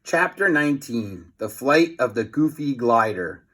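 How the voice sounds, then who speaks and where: A man's voice: medium pitch, expressive, a little slow, a bit bright. A man reading a children's story aloud as a theatrical narrator, with teasing asides to young listeners. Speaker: storybook reader.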